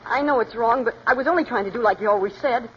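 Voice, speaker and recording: Naive, loyal young jockey, boyish and deferential, high and fast, on a dull vintage radio recording. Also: male voice, a little hiss or hum. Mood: anxious, confessing. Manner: deferential, plain-spoken, boyish. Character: anxious, earnest boy jockey, naive and loyal. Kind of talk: 1940s radio acting.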